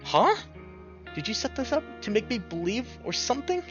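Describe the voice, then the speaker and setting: A frightened young man, as a male narrator plays him, pleads and accuses in breathless outbursts. The voice is pushed high and swings about wildly, as in a dramatic visual novel. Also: very animated, moderate pace, lo-fi sound, over music. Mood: hysterical, pleading.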